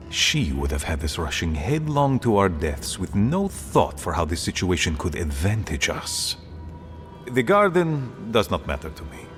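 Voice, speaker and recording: An actor playing an aging dwarf, a weary, pragmatic conspirator speaking gravely and earnestly in a fantasy game. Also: male voice, sits low. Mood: apologetic, resolute. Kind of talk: dramatic game dialogue.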